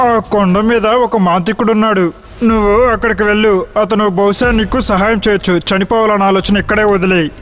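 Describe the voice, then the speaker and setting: A man voicing a concerned forest stranger who gives advice in an animated moral story. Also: sits high, fast, in a large hall, lightly acted. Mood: concerned, advising. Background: faint music.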